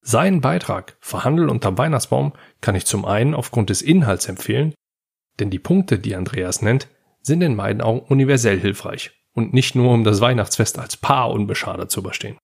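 Man, podcast host, creaky and slightly rough voice, scripted monologue, addresses listener directly, anecdotal. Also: mid-range voice, animated, a little fast. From Germany.

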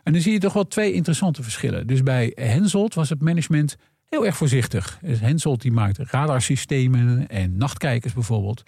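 A man's voice: medium pitch, lively intonation, brisk, gravelly, warm tone, slightly rough, no echo, clean studio sound. A man explaining conversationally as an expert guest, acting out bits of dialogue as he goes. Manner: acts out imagined dialogue while explaining. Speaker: guest analyst explaining earnings calls.

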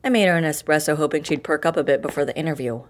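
A woman playing a breezy, deadpan office assistant in an audio drama comedy, with an offhand, dry manner. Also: low voice, very fast, lightly acted.

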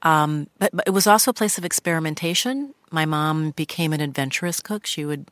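Low-voiced woman journalist in a studio. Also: a little fast, fairly bright tone, no room sound. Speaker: journalist and podcast host, as guest. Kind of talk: radio interview conversation. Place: radio studio. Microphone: studio microphone.

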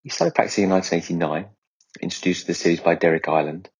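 A man's voice: deep voice, steady tone, rapid-fire, breathy edge. A man presenting a podcast introduction as its host, in a scripted spoken style.

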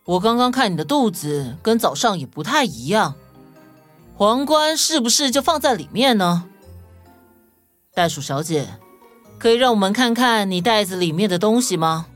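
Casting: woman as principled child sleuth for a kids' audio story, deliberate and logical, very animated pitch, music underneath. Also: close to an everyday voice. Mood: accusing, reasoning. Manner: deliberate, reasoning, matter-of-fact.

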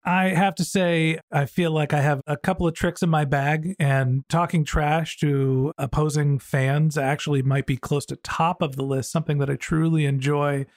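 An American man with a slightly high voice speaks as a podcast host. He talks conversationally, as in an interview, and the sound is dry with very little room. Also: fairly even intonation, moderate pace, few pauses, dry recording.

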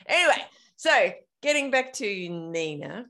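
A woman hosting a running podcast, chatting in a bubbly, animated way and laughing often. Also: a little high, unhurried, gravelly. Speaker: podcast host. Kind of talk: casual interview chat.

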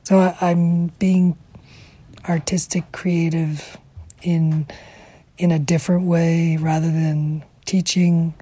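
A middle-aged woman with a damaged, whispery voice, speaking reflectively in short, halting phrases in an interview. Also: lowish voice, little pitch movement, warm tone, breathy edge. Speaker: interviewee, former teacher.

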